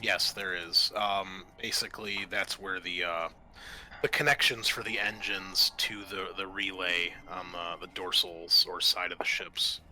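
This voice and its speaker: A man's voice: sits low, steady tone, measured pace, bright, forceful tone, a little hiss or hum, low-quality recording. A man acting as game master, explaining things casually and a little hesitantly at a tabletop game.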